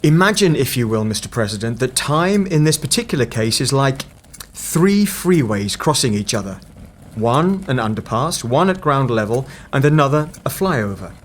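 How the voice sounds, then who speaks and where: A man's voice: medium pitch, sing-song, natural voice. Guy playing a serious mysterious time detective, short and clipped, audio drama, bit of background noise. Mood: grave, explaining. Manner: curt, deliberate explaining. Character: terse, grave, mysterious male time investigator. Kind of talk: fan-made audio drama acting.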